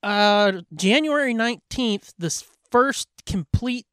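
Animated, high-pitched man swearing freely. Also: slow, clean tone, no room sound. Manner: profane, animated fan talk. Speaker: podcast co-host. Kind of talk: casual pop-culture chat.

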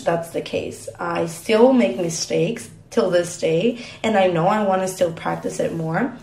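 A woman's voice: fairly low pitch, darkish tone. A woman whose first language is Spanish, answering interview questions in a reflective, easygoing way and laughing now and then. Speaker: interview guest. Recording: roomy echo.

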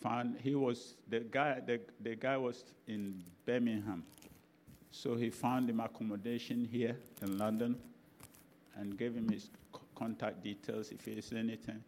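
A man's voice: medium pitch, fairly even intonation, a little slow, a little mellow, slight background noise. Older Ghanaian man preaching. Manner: chatty anecdotal preaching with restarts and laughs. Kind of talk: sermon storytelling.

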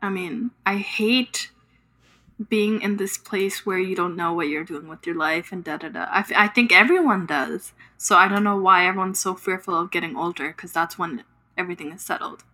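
A woman's voice: lowish voice, normal speed, bright, forceful tone. A woman in her late twenties chatting casually as a guest on a podcast. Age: late 20s. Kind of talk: casual chat.

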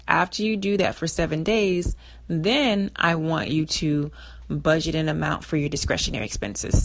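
A woman hosting a radio show on personal finance, slightly hoarse, giving step-by-step instructions and repeating her key points. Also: sits low. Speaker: financial advice show host. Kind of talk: radio advice talk.

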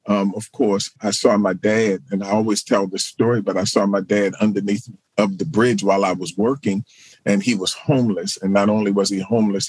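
A man's voice: on the low side, slightly rough, dry recording, rough recording. A middle-aged man from Baltimore, a pastor and social work professor, answering interview questions in a reflective way with fillers and restarts. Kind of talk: interview guest.

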